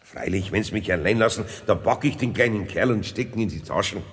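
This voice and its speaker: Guy playing a good-natured bavarian carpenter in an old radio play, lowish voice, bit bashful, long reverb. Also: a little fast, natural voice. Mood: earnest. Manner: hesitant, homely, plain-spoken. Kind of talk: 1970s German children's radio play acting.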